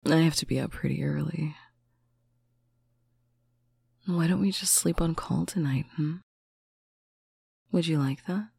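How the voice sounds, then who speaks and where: A speaker in a slow, intimate roleplay, talking softly to a faraway partner on a bedtime call, often dropping into a whisper. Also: medium pitch, creaky, breathy edge, no echo. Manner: soft, affectionate, often whispered. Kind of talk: intimate roleplay monologue to the listener.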